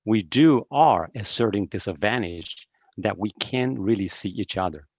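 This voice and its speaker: A man's voice: lowish voice, animated, measured pace, a little mellow, no room sound. A man in his fifties from Taiwan, a seminar speaker giving an encouraging online talk with many hesitant fillers over a video call. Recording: dry, dull video-call audio.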